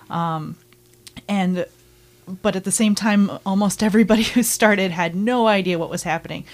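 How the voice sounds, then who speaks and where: Upbeat woman, interview guest. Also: medium pitch. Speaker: roller derby team member, guest. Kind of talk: casual interview chat.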